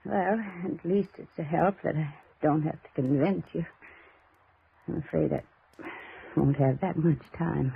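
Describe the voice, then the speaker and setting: An actress playing a dying old aunt in a 1950s radio drama, folksy, chatty and chiding. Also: female voice, low-pitched, unhurried, vocal fry, long pauses, slight background noise, heavily acted. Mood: matter-of-fact. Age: older. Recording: tinny old radio recording with music.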